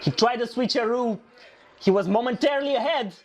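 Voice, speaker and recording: A man reacting live to a motor race, excitable and repeating himself, his voice hoarse and nearly gone from shouting. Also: extremely high pitch, a little fast, very noisy.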